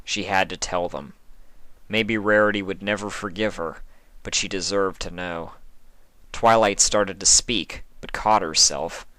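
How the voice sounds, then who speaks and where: Male story narrator, low pitch, dramatic scripted read, dry recording. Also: steady tone, a little slow, sharp tone, no echo, poor audio. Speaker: fan fiction reader.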